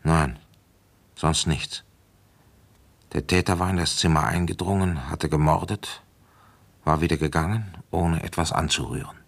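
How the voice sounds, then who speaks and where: An actor playing a weary, dry police inspector in a radio crime play, measured and matter-of-fact as he narrates and questions. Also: extremely low pitch, gravelly, slightly rough, heavily acted. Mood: measured, sober.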